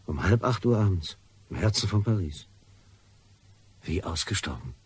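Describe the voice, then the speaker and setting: An actor playing a nervous, apologetic man in a German crime radio play, with a very husky, breathy voice, anxiously thinking aloud. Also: low-pitched, animated, moderate pace, a little hiss or hum. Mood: anxious, tense. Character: nervous, apologetic man waiting to hand over money.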